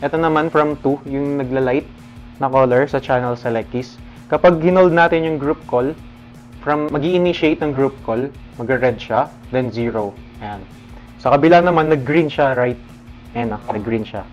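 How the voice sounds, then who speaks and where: A man's voice: mid-range voice, moderate pace, darkish tone. A man with a Filipino accent giving a casual, instructional product demonstration, addressing viewers informally. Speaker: product presenter. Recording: dull lo-fi sound under music. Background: background music.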